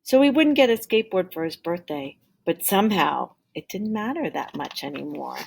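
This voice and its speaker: A woman reading a children's picture book aloud like a teacher, with deliberate, expressive emphasis. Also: fairly low pitch, gravelly, a little hiss or hum. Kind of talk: expressive storybook read-aloud.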